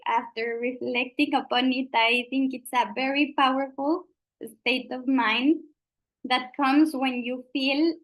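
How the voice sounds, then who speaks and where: Woman, slightly high voice, slow and halting, lots of filler pauses, panel speaker. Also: low-quality recording. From Mexico. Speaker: founder of a marketing agency. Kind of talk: panel introduction and discussion.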